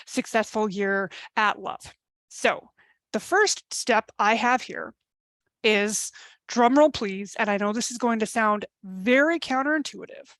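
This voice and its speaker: Energetic, chatty dating coach woman. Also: a little high, no room sound. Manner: chatty, emphatic, acts out quoted complaints. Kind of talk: live webinar masterclass.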